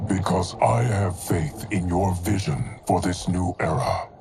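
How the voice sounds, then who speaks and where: An actor playing a stoic ancient male guardian, deep and measured in a game cutscene. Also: vocal fry, noisy background. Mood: solemn. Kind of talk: video game cutscene acting. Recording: game audio with music behind.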